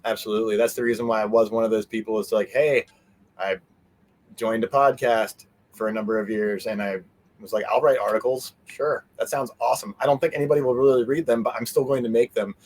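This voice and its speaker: A man giving a casual interview as a guest, animated and meandering, often trailing off mid-sentence. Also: mid-range voice, brisk. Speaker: interview guest, fan convention organizer. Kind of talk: casual rambling interview chat.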